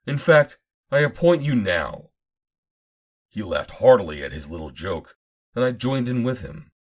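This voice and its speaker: A man reading an adventure novel aloud as an audiobook narrator, in a measured, formal storytelling manner. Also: mid-range voice, big pitch swings, a little mellow. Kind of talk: dramatic scripted narration of an old adventure novel.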